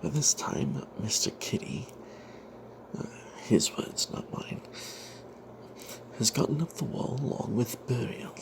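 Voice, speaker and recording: A man narrating a first-person fantasy story as ASMR in a breathy whisper, hushed and halting, with wry asides. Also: mid-range voice. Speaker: storyteller narrating own tabletop character. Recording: noticeable background noise.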